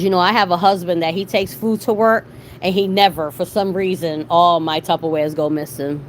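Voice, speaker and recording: A middle-aged woman from Boston chatting casually as a livestream host, with a salty, slangy way of talking. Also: medium pitch. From Boston, USA. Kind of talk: casual live chat with viewers. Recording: clearly noisy background.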